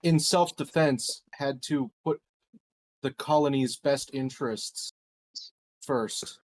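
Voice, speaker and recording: A man's voice: mid-range voice, slow, a little mellow. A man bantering casually as a player during a tabletop game.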